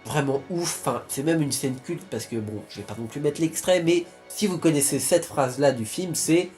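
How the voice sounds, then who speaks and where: A man's voice: on the high side, normal speed, a little mellow, faint music behind. A young man from France talking casually to his viewers, rambling with many restarts and fillers. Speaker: YouTuber. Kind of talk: casual vlog talk.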